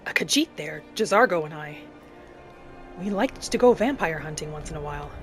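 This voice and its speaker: A woman voicing an earnest, vengeful young battle mage in a dramatic, deliberate first-person fantasy roleplay. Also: low-pitched, expressive, faint music behind. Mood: reflective. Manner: dramatic, deliberate, thinking aloud in character.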